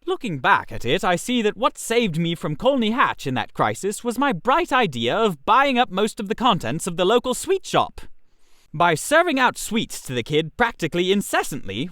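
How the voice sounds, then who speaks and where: A theatrical storyteller reads scripted comic narration. The delivery is wry and hugely animated in pitch, with a mid-pitched voice and a very dry recording. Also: no echo.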